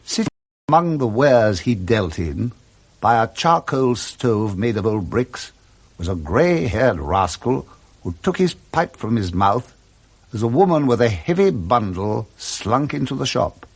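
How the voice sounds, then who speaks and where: A man with a British accent narrating a Victorian ghost story in a deliberate, theatrical radio drama style. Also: medium pitch, sing-song, husky, exaggerated voice. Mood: grave, suspenseful.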